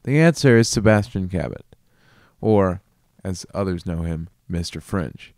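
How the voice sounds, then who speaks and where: A man hosting a casual video, chatting about an album in a relaxed, amused, rambling way. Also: low voice, a little slow, no room sound, studio quality. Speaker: video host reviewing an album. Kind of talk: casual commentary.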